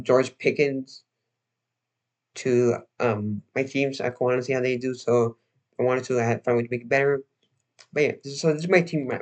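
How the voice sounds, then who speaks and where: Young man rambling on a livestream. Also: mid-range voice. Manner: rambling, halting, with frequent restarts and fillers. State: losing his voice, slightly hoarse. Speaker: livestream host. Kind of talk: casual livestream chat.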